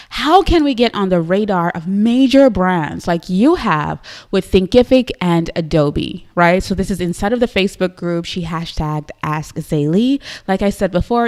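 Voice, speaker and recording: A young woman with a Trinidadian accent hosts a live stream and talks straight to her viewers. She sounds upbeat and chatty and keeps tagging "right?" onto her lines. Her pitch sits in the middle of the usual range. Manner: upbeat and chatty, often adds "right?", laughs easily. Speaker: host of a live business show.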